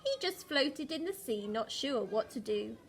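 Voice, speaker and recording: A woman's voice: a little high, animated, measured pace. A woman primary school teacher reading a picture book expressively to young children, with dramatic emphasis and questions to the listeners.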